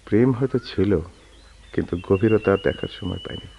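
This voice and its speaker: A man's voice: fairly low pitch, moderate pace. A man acting a bitter, proud, brooding man in a radio drama, with theatrical delivery that can swell into anguish. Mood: bitter, melancholy. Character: bitter, proud man who lost his right leg, brooding. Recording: dull lo-fi radio recording, clearly noisy.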